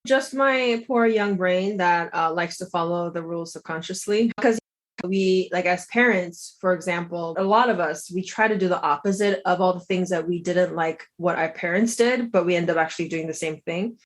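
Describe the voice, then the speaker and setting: A woman's voice: lowish voice, moderate pace. A woman in her thirties who grew up in South Korea, a lawyer and health coach answering interview questions in a reflective, chatty way. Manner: reflective, chatty, lots of "like" and restarts.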